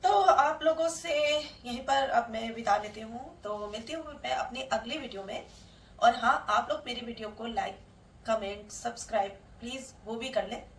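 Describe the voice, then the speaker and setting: A woman's voice: fairly high pitch, normal speed, a little hiss or hum. Chatty woman vlogging at home, roomy. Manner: chatty, homely, addresses viewers as friends. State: sore throat and cold. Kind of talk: casual home vlog talk to viewers. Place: at home, indoors. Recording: roomy sound from a distant mic.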